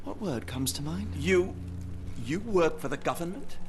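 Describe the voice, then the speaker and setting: A man's voice: fairly high pitch, expressive, measured pace, a little hiss or hum. A man with a British accent playing a sardonic, intense detective with theatrical, cutting speech in a video game. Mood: mocking. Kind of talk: scripted video game cutscene acting.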